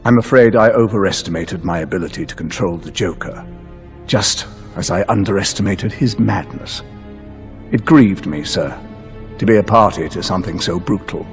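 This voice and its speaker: An actor playing an ancient, courtly mastermind villain, delivering a dramatic monologue in a formal, measured, grave manner. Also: male voice, low-pitched. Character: ancient, courtly male mastermind villain, six centuries old. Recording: prominent music under the voice.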